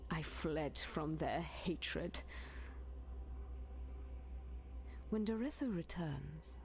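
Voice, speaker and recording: An actress voicing a lovelorn, obsessed female sea monster in a slow, theatrical video game monologue that slips into whispers. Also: on the low side, vocal fry, exaggerated voice. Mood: bitter, brooding.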